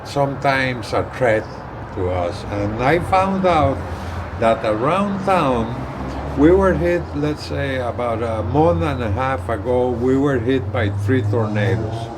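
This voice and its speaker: Man, slow halting delivery, mid-range pitch, casual how-to vlog, deliberate phrasing, clear background noise. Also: expressive. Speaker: vlogger, hobbyist radio user and truck driver. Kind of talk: casual explanatory how-to talk to camera.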